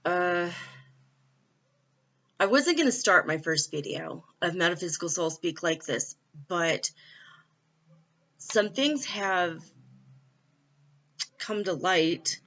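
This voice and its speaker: A woman's voice: fairly low pitch, expressive, slow, pauses often, fairly bright tone. A middle-aged woman from California talking casually and candidly into her laptop, with many fillers and little laughs. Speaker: vlogger. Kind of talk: casual spiritual vlog. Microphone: laptop built-in mic. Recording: dull lo-fi laptop mic.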